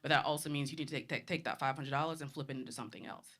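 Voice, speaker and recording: A woman's voice: low-pitched, fairly even intonation, racing, a bit bright. A woman in her thirties from the Bahamas, a panelist speaking bluntly and confidently in a live discussion.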